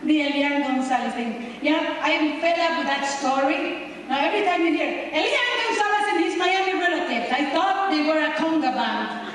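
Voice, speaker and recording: A woman's voice: high-pitched, lively intonation, moderate pace, noisy background. Nicaraguan woman doing stand-up in an echoing hall. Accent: Nicaraguan Spanish. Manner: brash, teasing, confessional punchlines. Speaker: stand-up comedian. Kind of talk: stand-up comedy set. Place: large hall with live audience. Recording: distant, echoey home VHS tape of a live hall.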